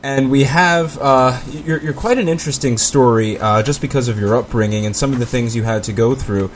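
A man's voice: medium pitch, fairly quick, a little hiss or hum. A man hosting a talk show, speaking with an emphatic, declarative delivery. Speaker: podcast host.

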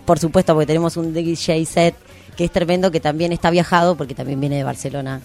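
A woman's voice: sits low, fairly even intonation, quick pace, dark tone. A woman with a Rioplatense Argentine accent hosting a casual radio show, speaking in an informal, slangy way. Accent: Rioplatense Argentine Spanish. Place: community radio studio. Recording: music behind the voice. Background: music.

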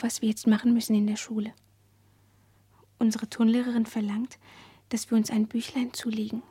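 An actress playing a dreamy, earnest teenage schoolgirl in a radio play, speaking in a hushed, breathy, confiding way. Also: on the high side, dry recording. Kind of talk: intimate radio play dialogue.

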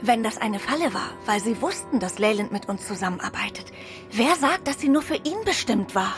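A woman's voice: fairly high pitch, expressive, a little fast, music in the background, lightly acted. A woman playing a perceptive, affectionate detective's wife in a German radio drama, attentive and questioning in manner. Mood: curious, concerned.

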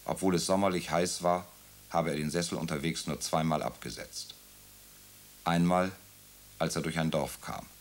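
A man narrating a radio drama in a calm, measured way. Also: sits low. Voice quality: gravelly.

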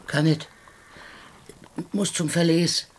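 An actor in a radio drama playing a haunted, agitated poor soldier and father who broods in hushed, disjointed phrases. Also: a little high, steady tone, takes it slowly. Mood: haunted, urgent.

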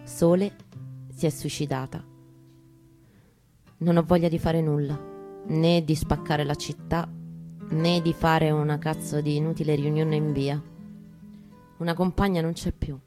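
A woman's voice: sits low, little pitch movement, measured pace, long pauses. Roman woman's dramatic reading over guitar. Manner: intense, colloquial, profane delivery. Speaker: performer reading her piece aloud. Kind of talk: dramatic spoken-word reading. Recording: live guitar under the voice. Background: acoustic guitar music.

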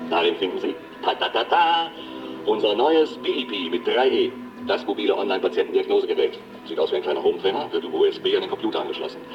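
An actor playing a cynical, domineering pharmaceutical boss in a radio drama, brusque and patronising. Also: male voice, low voice, natural voice. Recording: muffled sound with music underneath.

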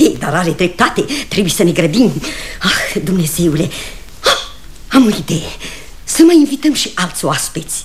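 An actress playing a fussy, bossy, status-proud small-town matriarch with an exclamatory manner, in theatrical radio comedy style. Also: female voice, fairly low pitch, very animated. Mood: fussy, excited. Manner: fussy, exclamatory, self-important. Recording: old radio recording, faint noise, clicks.

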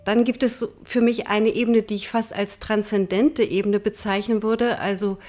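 A woman's voice: medium pitch, fairly even intonation, normal speed, darkish tone. A woman speaking thoughtfully in an interview, often listing ideas word by word. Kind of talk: reflective interview.

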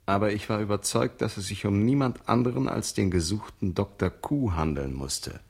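A man playing an unflappable adventurer who narrates absurd exploits in a measured deadpan, in a scripted experimental radio play. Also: lowish voice, creaky, slightly rough. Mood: detached, narrating. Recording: music behind the voice.

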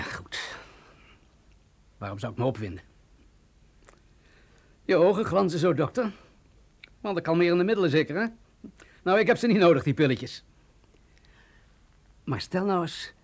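A man's voice: on the high side, lively intonation, moderate pace, pauses often, breathy edge. A man acting in a radio drama as a tense, stubborn army general with an emphatic, insistent way of talking. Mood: edgy, sardonic.

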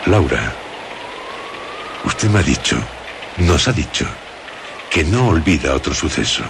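A middle-aged man with a Castilian Spanish accent, hosting a radio drama in a solemn, deliberate, phrase-by-phrase narration over music. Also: a bass voice, very animated, vocal fry, noisy background. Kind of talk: scripted introduction and narration.

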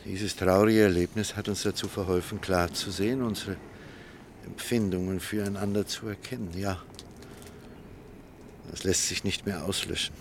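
Radio drama role: earnest male doctor torn by love, fairly low pitch, formal and measured. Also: steady tone, noisy background. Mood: earnest, probing. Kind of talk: German radio drama acting.

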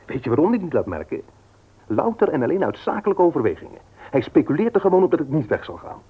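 A man's voice: on the high side, very animated, quick pace, breathy edge. A man acting in a radio play as a firm, frank nephew, formal and argumentative. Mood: hard-headed. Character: firm, frank married nephew running his uncle's business. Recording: dull, lo-fi old radio recording.

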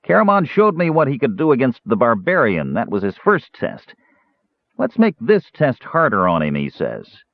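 A man putting on a shrill, sneering dwarf. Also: wildly swooping pitch, normal speed, dry recording. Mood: gloating. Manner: snarling, sneering, cackling. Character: shrill, scheming male dwarf slave-owner. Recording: dry, phone-like narrow band.

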